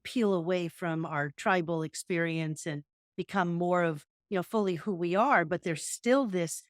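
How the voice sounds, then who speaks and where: A woman with a fairly low voice talks through ideas in a thoughtful, wandering way. She shifts easily into calm cues for movement and breath. The sound is close and dry. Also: dry recording. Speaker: podcast co-host, yoga teacher. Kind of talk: casual reflective conversation.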